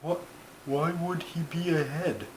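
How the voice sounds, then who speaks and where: Slow, rambling turtle storyteller played by a man. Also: fairly high pitch, fairly even intonation, lightly acted. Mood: earnest, halting. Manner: halting, drawn-out, earnest. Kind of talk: comic puppet skit storytelling. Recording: clearly noisy home recording.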